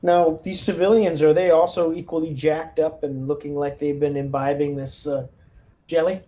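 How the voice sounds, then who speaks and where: A man's voice: fairly high pitch, flat delivery, normal speed, warm tone. A man chatting casually as a player in a tabletop roleplaying game, talking through his moves.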